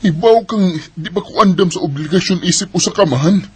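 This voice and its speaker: Husky, high-pitched callous young boyfriend. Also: male voice, a little fast. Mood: defensive, accusing. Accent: Filipino Cebuano. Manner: accusing, dismissive. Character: young bachelor boyfriend refusing fatherhood, callous and irresponsible. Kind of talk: Philippine radio drama acting.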